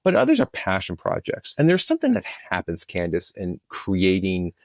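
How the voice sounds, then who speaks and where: A man's voice: deep voice, wildly swooping pitch, moderate pace, gravelly, no room sound, clean studio sound. A man, a producer and podcast guest, talking casually in an interview and explaining his work.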